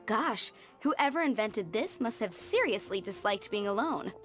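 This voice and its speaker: A woman's voice: medium pitch, lively intonation, measured pace, natural voice. A woman voicing a genial, poised tavern proprietress in a video game, polite and chatty, with background music. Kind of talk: animated game voice acting.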